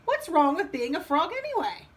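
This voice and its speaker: A woman puts on an exasperated storybook frog dad in a high, animated voice. He is blunt but fond and hammers each point with emphasis. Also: moderate pace. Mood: exasperated, emphatic. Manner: emphatic, listing reasons.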